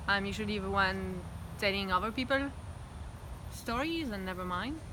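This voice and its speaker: A woman's voice: mid-range voice, moderate pace. Reflective woman outdoors. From Belgium. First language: French. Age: 30s. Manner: reflective, open and talkative. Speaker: interviewee. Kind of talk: candid personal interview. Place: outdoors in front of her house. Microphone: phone camera. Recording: outdoor phone recording, traffic noise.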